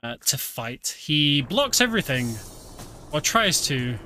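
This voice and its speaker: Bright-voiced British streamer. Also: male voice, fairly high pitch, slow pace, soft background music. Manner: relaxed, chatty, explaining as he plays. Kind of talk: casual gameplay commentary.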